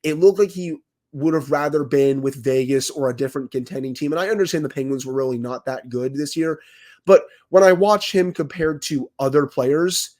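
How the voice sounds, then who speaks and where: A man co-hosting a hockey podcast while fighting a cold, a bit raspy, giving opinionated takes full of stats and careful hedges. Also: on the high side, moderate pace, a little mellow. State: has a cold, slightly raspy. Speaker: podcast co-host, hockey analyst. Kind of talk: casual sports podcast talk.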